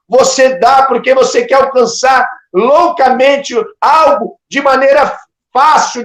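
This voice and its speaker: A male Brazilian Portuguese preacher speaks in a notably high voice with plenty of room echo around it. He addresses the listener directly in an informal sermon, admonishing with emphatic repetition and pointed rhetorical questions. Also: a bit bright. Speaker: preacher hosting an online ministry channel.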